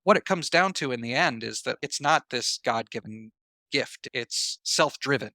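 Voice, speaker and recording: A man's voice: mid-range voice, animated, moderate pace, bright, forceful tone, dry recording. A man speaking as an interview guest, reflective and earnest, emphatically rephrasing his points as he explains. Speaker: podcast guest, writer and actor.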